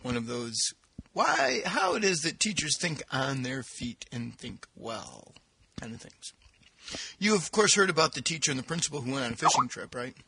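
A man's voice: mid-range voice, big pitch swings, normal speed, vocal fry, bright, forceful tone, slightly rough, low-quality recording. A man co-hosting a podcast, chatting casually and telling jokes with a giggly manner. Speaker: podcast co-host.

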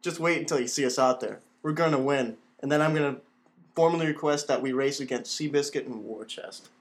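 A man's voice: medium pitch, brisk, natural voice. A man playing a cocky, boastful jockey in a radio play. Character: cocky, boastful male jockey itching for glory. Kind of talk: old-time radio play acting.

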